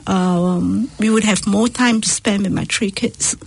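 A woman's voice: medium pitch, unhurried, gravelly, husky, no echo. A middle-aged woman from Malaysia whose first language is not English, answering interview questions hesitantly with many fillers and restarts. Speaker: guest. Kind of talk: casual radio interview.

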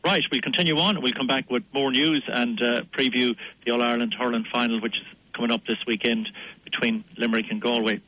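A man presenting a community radio programme casually, with a hesitant, halting delivery, close on a studio mic. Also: mid-range voice, steady tone, sharp tone, husky, no room sound, low-quality recording. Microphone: close studio microphone.

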